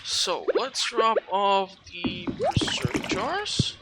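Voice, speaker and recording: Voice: mid-range voice, very animated, drawn-out words. A person giving casual game walkthrough commentary as a video host, rambling and thinking aloud. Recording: clearly noisy recording with clicks.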